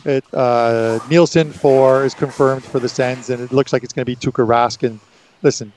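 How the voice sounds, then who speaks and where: A man in his seventies with a headset, talking hockey bets in a casual chat, pausing often with fillers. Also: medium pitch, fairly even intonation, a little mellow, slight background noise. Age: 70s. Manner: hesitant, many fillers, chuckling. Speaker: co-host. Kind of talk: casual sports betting chat.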